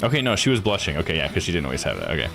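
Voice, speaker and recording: A man's voice: low-pitched, huge pitch swings, rapid-fire, vocal fry, over music. A man streaming a game playthrough with casual commentary, musing aloud in an easygoing way.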